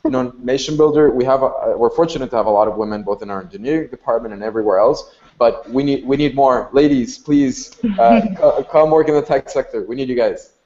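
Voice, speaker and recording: An eager man speaks informally as a panelist in an online discussion. He talks quickly. The recording is dull and lo-fi. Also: medium pitch, dark tone. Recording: dull lo-fi sound.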